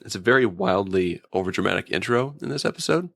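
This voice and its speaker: A man's voice: fairly low pitch, expressive, fast, creaky, a bit bright, dry recording. A man chatting casually as a guest reviewer, with an informal, enthusiastic fan's way of talking. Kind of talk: casual episode review chat.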